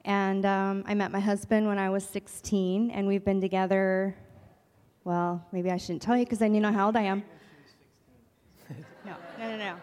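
A woman's voice: mid-range voice, clean tone. A woman in her forties, from California, casually introducing her family in a friendly, chatty way. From California, USA. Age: 40s. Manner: friendly, chatty, with light laughs. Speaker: pastor's wife candidate. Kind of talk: casual personal sharing. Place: church hall. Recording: reverberant hall audio.

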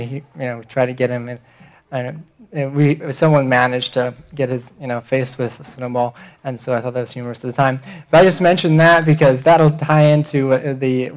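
A young man speaking as a visiting missionary at church, earnest and hesitant, with frequent ums and restarts. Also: mid-range voice. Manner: earnest, hesitant with many fillers.

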